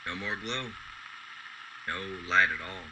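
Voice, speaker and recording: A man playing a gentle, playful working man given to musing, in a radio drama. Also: low voice, slow pace, noisy background. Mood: curious, musing.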